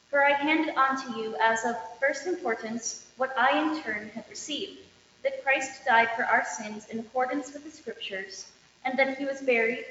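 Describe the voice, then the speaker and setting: A woman reading scripture aloud as a lector, measured and declarative, with emphatic openings to phrases. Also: a little high, fairly bright tone. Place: large reverberant indoor space. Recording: echoey reverberant hall, dull, faint noise.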